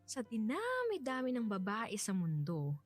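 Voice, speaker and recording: A woman with a Filipino accent playing a tender widow in a radio drama, softly and hesitantly opening her heart to love again. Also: fairly high pitch, wildly swooping pitch, a little slow, faint music behind, heavily acted. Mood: tender, earnest. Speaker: radio drama actress and narrator.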